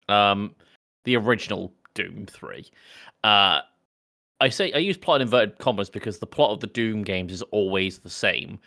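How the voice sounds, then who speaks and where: A man with a low, bright, forward voice co-hosts a casual film podcast. He explains things in a wry, opinionated way and is not shy of swearing. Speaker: podcast co-host.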